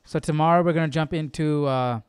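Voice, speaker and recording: A man's voice: fairly high pitch, steady tone, brisk, clean tone, no echo, clean studio sound. A man hosting a Bible study livestream, thinking aloud in an informal, musing way and often repeating himself. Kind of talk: casual chat, thinking aloud.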